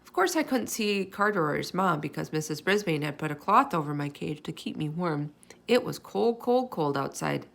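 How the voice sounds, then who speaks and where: A woman reading a children's chapter book aloud in an expressive storytelling style. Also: sits low, normal speed, creaky. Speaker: storybook reader. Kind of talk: children's read-aloud, expressive scripted narration.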